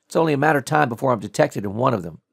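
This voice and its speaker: Guy doing a lady hacker in a thriller audiobook, higher voice, urgent and brisk. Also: lively intonation, gravelly, husky, no room sound, clean studio sound, heavily acted. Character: urgent female computer hacker on an earpiece.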